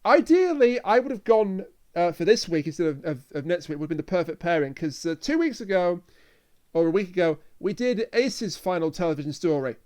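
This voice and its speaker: A man's voice: high voice, sing-song, fairly quick, darkish tone. A man co-hosting a casual review discussion, talking earnestly and repeating himself for emphasis. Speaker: podcast co-host.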